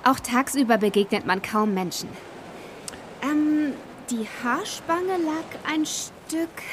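A woman's voice: sits high, takes it slowly, background noise. An actress playing an observant, thoughtful woman helping detectives in a German audio drama, speaking hesitantly. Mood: searching, focused.

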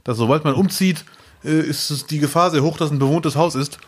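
A man's voice: fairly high pitch, fairly quick, breathy edge. A man co-hosting a casual podcast chat, cracking dry ironic jokes. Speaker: podcast co-host.

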